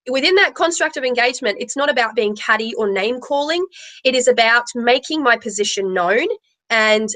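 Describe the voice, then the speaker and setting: A woman's voice: fairly high pitch, a bit bright, rough recording. A woman with a big voice, speaking emphatically and repeating phrases for stress on a live tarot panel. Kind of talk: opinionated live panel talk.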